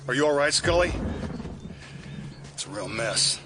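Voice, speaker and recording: An actor playing a weary, concerned senior federal investigator in an audio drama, plainspoken and wry. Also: male voice, medium pitch, animated, unhurried, pushed and bright, a little hiss or hum. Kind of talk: immersive audio drama acting.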